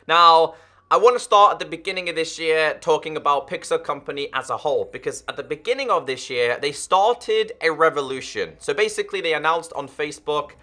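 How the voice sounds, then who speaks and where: Bright-voiced young gaming YouTuber. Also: male voice, on the high side, a little fast. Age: 20s. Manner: earnest, addresses viewers directly. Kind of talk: direct-to-camera commentary.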